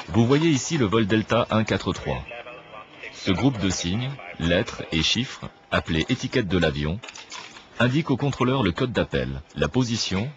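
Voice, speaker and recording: A man reading a scripted documentary narration in a measured, didactic manner. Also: low voice, highs cut off, slight background noise. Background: clear background music.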